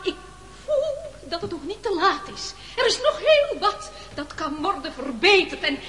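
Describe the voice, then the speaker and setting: Een vrouw die in een hoorspel een gekwelde zwakkeling speelt, hakkelend, zichzelf herhalend en overspannen. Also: female voice, very high-pitched, a bit bright, full character voice. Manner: halting, repetitive, overwrought. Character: anguished weakling vowing fervently to better themselves. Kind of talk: Dutch radio play acting. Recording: echoey hall, faint noise.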